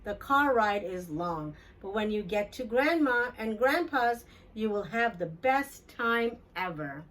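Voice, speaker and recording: A woman reading a children's storybook aloud in an expressive, deliberate storytelling manner for young listeners. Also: mid-range voice, distant mic, low-quality recording. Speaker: children's book read-aloud host. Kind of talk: scripted storybook narration for children.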